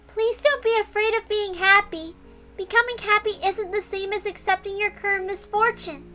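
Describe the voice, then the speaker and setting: A woman's voice: extremely high pitch, steady tone, moderate pace, bright, forceful tone, pitched above the performer's own voice. A woman voicing a cheerful, earnest little girl witch from a visual novel, reassuring as she teaches. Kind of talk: visual novel character read aloud. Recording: music behind the voice.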